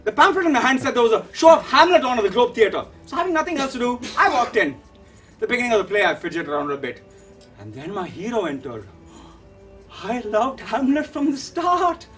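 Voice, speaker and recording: Man acting a high-voiced, theatrical young Indian man. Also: very animated, brisk, distant mic, soft background music, heavily acted. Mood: reflective. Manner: theatrical, declamatory, swinging from hushed to shouting. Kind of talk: dramatic monologue storytelling.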